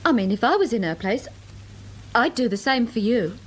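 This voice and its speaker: An actress playing a loyal, no-nonsense English chip shop worker in a radio drama, plain-spoken and affectionate. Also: female voice, on the high side, animated, moderate pace, slight background noise, close to an everyday voice, poor audio. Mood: reassuring. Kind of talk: British radio drama acting.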